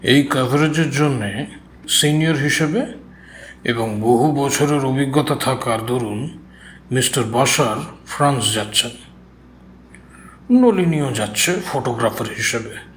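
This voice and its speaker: A man acts out a genial newspaper boss in an audio drama. He speaks slowly and deliberately with indulgent authority, over faint background ambience. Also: mid-range voice, a bit bright, slight background noise, close to an everyday voice. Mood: measured, businesslike.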